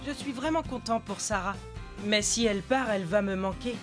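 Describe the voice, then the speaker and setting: A woman voicing an eager, friendly young boy rider in an animated series, curious and keen. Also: medium pitch, fairly quick, faint music behind, natural voice. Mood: supportive, friendly. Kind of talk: animated series voice acting, French dub.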